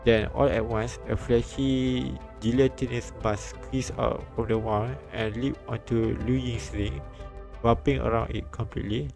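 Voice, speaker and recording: A man reading a visual novel aloud on a live stream, with halting restarts and bits of commentary. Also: mid-range voice, fairly even intonation, vocal fry, a little mellow. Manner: halting, repeats and restarts lines. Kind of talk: live read-aloud translation and narration with commentary. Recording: background music behind the voice.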